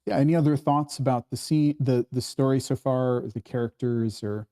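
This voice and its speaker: A man's voice: medium pitch, moderate pace, warm tone. A man hosting a casual workshop discussion, explaining things conversationally and checking in with his listeners. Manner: acts out quoted dialogue while explaining, often checking with "right?". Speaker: workshop host leading a screenplay discussion.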